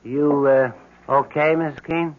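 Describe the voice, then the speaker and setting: A man's voice: on the high side, steady tone, a little slow, a little hiss or hum, close to an everyday voice. An actor playing an odd, morbid building handyman, matter-of-fact and brooding, in a 1970s radio drama. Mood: casual, curious. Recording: thin, tinny old radio broadcast.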